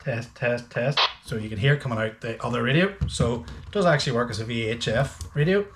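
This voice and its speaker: A man hosts an informal hands-on gadget review in a medium-pitched voice. He thinks aloud with a slightly hesitant, unpolished delivery. There is some faint background noise on the recording. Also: brisk. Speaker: host of a boating gadget channel. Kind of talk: casual hands-on product review.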